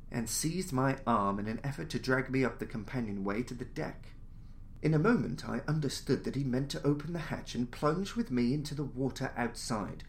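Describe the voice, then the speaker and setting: Guy reading a story, formal and theatrical, mid voice, some ambient noise behind. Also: medium pitch. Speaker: narrator, audiobook-style podcast reader. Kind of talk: dramatic scripted story narration. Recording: noticeable background noise, jungle-like ambience.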